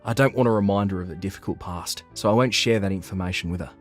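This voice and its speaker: An earnest young office worker tells his own story in a manga dub voiced by a man. His voice is low, with an anxious edge to the narration. Soft music plays behind him. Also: quick pace, close to an everyday voice. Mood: narrating, sympathetic.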